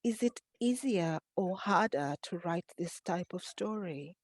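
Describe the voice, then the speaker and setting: A woman whose first language is Swahili moderates an online author event, putting measured, curious questions to her guest. Her voice is on the low side. It sounds dry and close over a video call. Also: animated, dry recording. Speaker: event host and interviewer. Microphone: computer microphone on video call.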